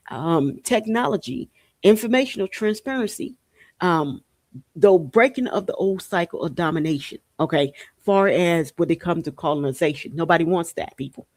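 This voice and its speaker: A woman's voice: lowish voice, darkish tone, dry recording. Emphatic woman, dry close sound. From Haiti. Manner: emphatic, repetitive, punctuating with rhetorical questions and "okay?". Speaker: podcast host. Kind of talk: spiritual and political monologue, informal talk.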